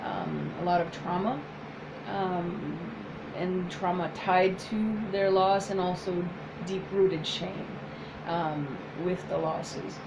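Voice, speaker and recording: A woman whose first language is French, speaking as a psychotherapist in a soft, hesitant casual chat with many pauses. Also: fairly low pitch, fairly even intonation, gravelly, noisy background. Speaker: psychotherapist, co-host.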